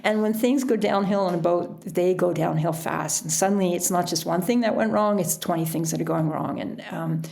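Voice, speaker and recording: A woman's voice: on the low side, normal speed, vocal fry, hardly any pauses, warm tone. A woman talking casually and reflectively as a guest in an interview. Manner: reflective, chuckles often while talking. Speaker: podcast guest, author and sailor.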